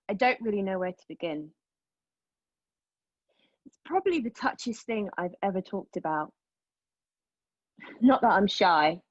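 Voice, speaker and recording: An actress in a table read of a play, playing an agitated, defiant, outspoken woman who speaks haltingly and emotionally. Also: mid-range voice, husky, no room sound, lo-fi sound. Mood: candid, nervous. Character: defiant, agitated woman arguing with a machine, giving personal testimony.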